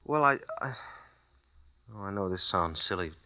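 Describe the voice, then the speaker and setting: An actor playing an American writer husband, speaking with an American accent in a hesitant, stammering way, on an old lo-fi radio recording. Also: male voice, sits low, expressive, normal speed, pushed and bright, full character voice. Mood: uneasy. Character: American writer husband, uneasy, young adult man. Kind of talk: old-time radio drama acting.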